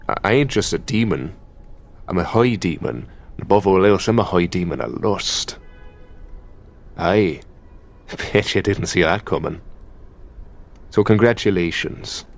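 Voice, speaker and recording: A man with an Irish accent playing a possessive, taunting demon lover in an intimate, gravelly ASMR roleplay. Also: low voice, exaggerated voice. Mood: possessive, seductive, then teasing, seductive. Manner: commanding, foul-mouthed, taunting, drawn-out delivery. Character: possessive, menacing, teasing male high demon of lust.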